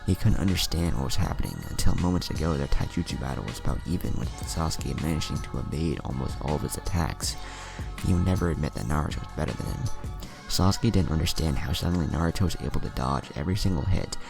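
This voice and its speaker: A man's voice: rumbling low, lively intonation, quick pace, creaky. A man narrating scripted fan fiction, acting out dialogue along the way, with music behind him.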